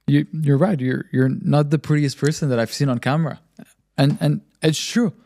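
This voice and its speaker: A man's voice: a little high, steady tone, moderate pace, vocal fry, dark tone. Young guy on a podcast, sure of himself, explains stuff and repeats points for punch. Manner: confident, explanatory, frequent emphatic repetition. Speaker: podcast host and marketing agency founder. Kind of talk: podcast advice talk.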